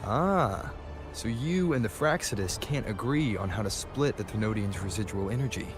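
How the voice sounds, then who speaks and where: Low-voiced, serious male game hero, acted. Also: lively intonation, normal speed, over music, lightly acted. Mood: guarded, challenging. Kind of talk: video game voice acting.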